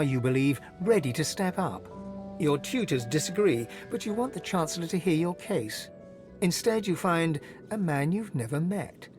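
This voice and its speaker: A man playing a solemn storyteller who narrates a fantasy game in a measured, dramatic way. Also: a little high, a little mellow.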